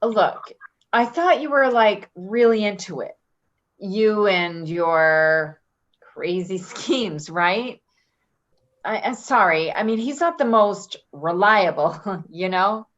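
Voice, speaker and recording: A woman's voice: medium pitch, lively intonation, slow, a bit bright. A woman playing a breezy, evasive, self-serving friend in an offhand, casual play reading. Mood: defensive. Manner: offhand, giggly, hedging. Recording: dull lo-fi recording.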